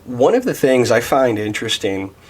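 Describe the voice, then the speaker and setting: A man's voice: medium pitch, big pitch swings, moderate pace. A man in his thirties giving a casual devotional Bible teaching, thinking aloud with many restarts and fillers. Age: 30s.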